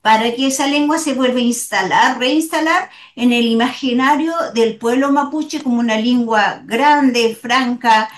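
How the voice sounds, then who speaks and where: A woman guest speaking earnestly and deliberately in a conversational interview. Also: a little high, echoey room.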